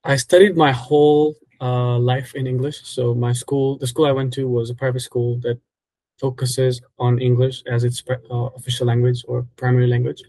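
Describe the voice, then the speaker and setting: A man in his twenties from Egypt, a native Arabic speaker, answering interview questions in relaxed, casual English with many fillers and self-corrections. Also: medium pitch, normal speed, no echo. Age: 20s.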